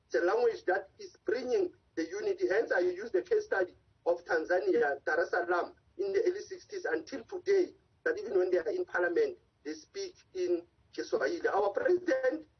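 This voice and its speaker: Husky high-voiced man. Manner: impassioned, argumentative, speaks in long run-on points. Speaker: Africa analyst and advocate, guest. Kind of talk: remote news interview. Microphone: video call. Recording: dull lo-fi call audio.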